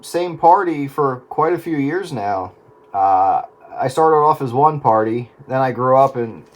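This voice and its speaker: A man's voice: medium pitch, poor audio. A man in a casual, folksy radio chat, talking a little hesitantly as an operator on a two-way radio. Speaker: amateur radio operator in a round-table chat.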